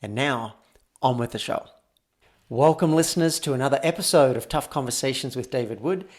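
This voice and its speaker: A man speaking as a podcast host and coach, explaining things in a measured, conversational way. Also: medium pitch, darkish tone. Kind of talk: conversational coaching and show introduction.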